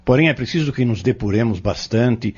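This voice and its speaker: A man with a Brazilian accent playing a calm, kindly pharmacist who teaches young men in a measured, solemn way in an audio drama. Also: mid-range voice, husky, muffled recording. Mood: solemn, teaching.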